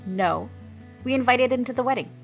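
A headstrong young mermaid princess, put on by a woman narrator, emotional and quick to flare up. Her voice carries a gravelly edge. Soft music plays faintly underneath. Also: medium pitch, animated, lo-fi sound. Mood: angry. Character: pregnant young mermaid princess wife, proud and stubborn.

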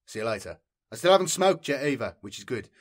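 A man's voice: mid-range voice, very animated, fast. A male host talks casually to his viewers without a script. He rambles along informally, thinking aloud as he goes. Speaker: channel host. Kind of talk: casual unscripted chat to viewers.

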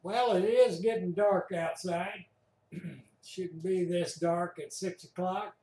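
In an echoey room, a man with a fairly high voice makes casual commentary. His delivery is slow and offhand, as an amateur radio operator following along with a net. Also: steady tone, dark tone.

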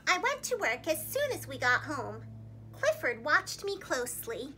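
A young woman reads a story to little children like a preschool teacher. Her voice is very high and swings widely in pitch, with expressive, dramatic phrasing. It is recorded in a room with a faint hum of noise. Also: measured pace. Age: young adult. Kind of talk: animated read-aloud for young children. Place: small indoor room, seated against a plain wall.

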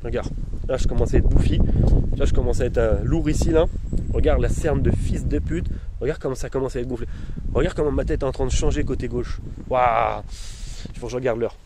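A man's voice: mid-range voice, fairly quick. Slangy man in pain, noisy outdoors. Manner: slangy, rambling, talks straight to his followers. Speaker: vlogger. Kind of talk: casual vlog. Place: outdoors among trees and bushes. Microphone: phone held at arm's length. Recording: noisy outdoor phone recording. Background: outdoor ambience.

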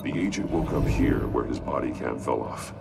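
An actor playing a stern masked vigilante detective in a video game, speaking in a terse, deliberate, analytical way with a gravelly growl. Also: male voice, rumbling low, lively intonation, recorded from a distance, heavy background noise, exaggerated voice. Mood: grim, analytical.

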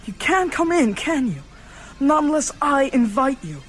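A woman's voice: sits high, very animated, moderate pace, noisy background. A woman playing a frightened but resourceful young boy in a radio drama, earnest and fearful. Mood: terrified defiance. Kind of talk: radio drama narration and acting.

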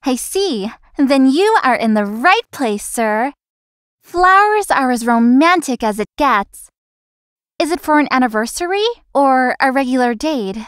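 A woman's voice: sits high, animated, measured pace, smooth, no room sound, clean studio sound. A woman voicing a young, friendly but world-weary florist in an anime-style romance game. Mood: polite, helpful. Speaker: voice actor in a visual novel. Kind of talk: scripted anime-style game dialogue and inner monologue.

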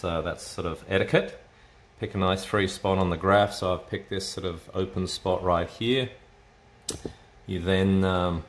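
A man giving an unscripted, hesitant, explanatory demonstration as a radio hobbyist, thinking aloud as he goes. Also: sits low. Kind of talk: unscripted casual tech demonstration. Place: indoors at a home desk with computers. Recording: roomy echo, noticeable background noise.

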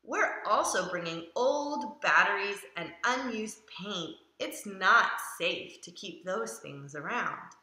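A woman's voice: medium pitch, lively intonation, takes it slowly, few pauses, pushed and bright. A woman voicing an eager, curious family dog who narrates a children's picture book with simple, emphatic delivery. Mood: earnest. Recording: roomy echo around the voice.

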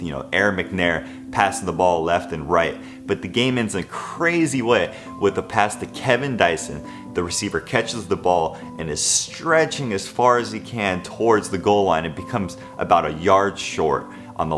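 A man's voice: sits low, fairly bright tone. A man in his twenties tells a football underdog story to camera in a casual, conversational way, often saying "you know". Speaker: sports video host. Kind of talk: scripted sports storytelling to camera. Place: living room of an apartment.

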